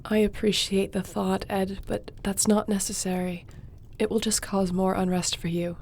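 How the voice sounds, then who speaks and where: A woman playing a devoted sorceress and court mage who speaks softly and measuredly. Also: medium pitch, flat delivery, slight background noise, close to an everyday voice. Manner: soft, measured, laughs easily. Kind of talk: audio roleplay acting.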